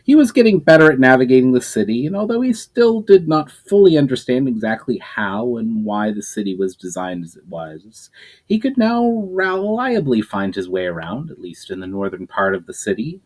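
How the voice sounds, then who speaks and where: Animated man reading his own novel. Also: medium pitch, moderate pace. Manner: lively storytelling with frequent self-corrections and fillers. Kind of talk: dramatic reading of a novel chapter.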